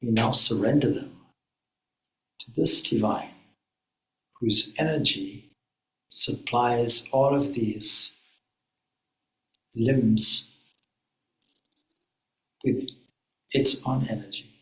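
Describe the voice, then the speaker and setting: A man leading a guided meditation as a spiritual teacher, speaking calmly in measured phrases with frequent pauses. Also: medium pitch. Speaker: swami, guided meditation leader. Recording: echoey room.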